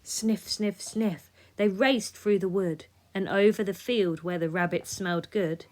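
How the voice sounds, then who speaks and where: A woman reading a rhyming children's story aloud in an expressive, measured way, sometimes dropping soft. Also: mid-range voice. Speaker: storybook reader.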